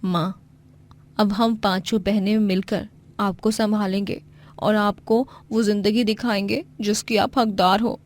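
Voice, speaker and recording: A woman's voice: mid-range voice, level tone, measured pace, natural voice. A woman playing brave, devoted young daughters in a Hindi moral story. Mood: reassuring.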